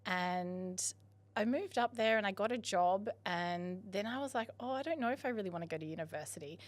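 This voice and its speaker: A woman's voice: mid-range voice, a little fast. A young Australian woman from Western Australia, chatting casually as a podcast guest, laughing easily and quoting herself. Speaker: podcast guest, business owner. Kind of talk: casual interview chat.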